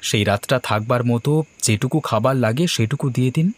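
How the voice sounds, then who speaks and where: An actor playing a polite, uneasy young man visiting a village in an animated horror story. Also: mid-range voice, a little fast, no echo, natural voice.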